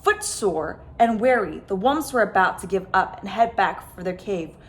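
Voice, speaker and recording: A woman reading a children's picture book aloud as a storyteller, with dramatic, expressive phrasing. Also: medium pitch, moderate pace. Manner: dramatic, stressed sound words and phrases. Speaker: storyteller, host of a story time.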